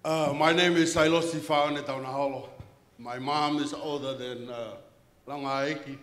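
A man with a bright, high voice and a Tongan accent tells a eulogy full of easygoing jokes. He holds a handheld mic in a big banquet hall, and the sound rings with a long, roomy reverb. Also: steady tone, measured pace. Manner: warm joking storyteller, laughs between lines. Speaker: eulogy speaker. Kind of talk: personal eulogy. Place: large banquet hall, indoors.

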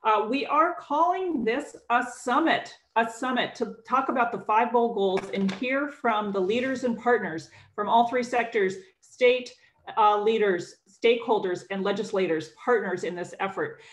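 A woman acting as host and opening a virtual summit over a video call, brisk and upbeat. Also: on the high side. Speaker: state department director, host. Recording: roomy video call audio.

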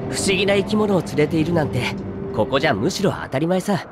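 Guy playing a friendly easygoing young game mage, lightish voice, really lively, polite, breezy. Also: a little high, fast, very noisy, natural voice. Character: affable, easygoing, courteous young male robed mage guide. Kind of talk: Japanese video game voice acting.

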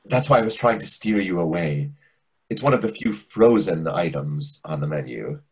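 Man playing a deep, husky, pompous waiter. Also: expressive, darkish tone, full character voice. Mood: smug. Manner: deliberate, pompous. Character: fussy, condescending male restaurant waiter. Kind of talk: readers theatre comedy acting. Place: indoor room on a video call. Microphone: video call microphone.

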